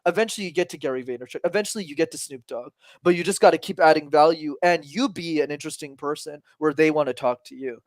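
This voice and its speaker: A man in his twenties, a communication coach talking as a podcast guest, energetic and emphatic, casual with some swearing. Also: on the high side, quick pace, hardly any pauses, no room sound. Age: 20s.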